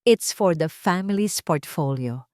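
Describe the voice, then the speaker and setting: A woman storyteller acts out a wealthy older Filipina mother-in-law in a drama story. She is haughty and disdainful, with a lowish voice in a dry recording. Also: lively intonation, measured pace, darkish tone, no room sound. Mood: businesslike.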